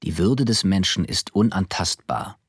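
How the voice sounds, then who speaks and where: Husky, low male reader, very dry sound. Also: no room sound. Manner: solemn, measured. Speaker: reader of legal text. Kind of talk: formal scripted reading.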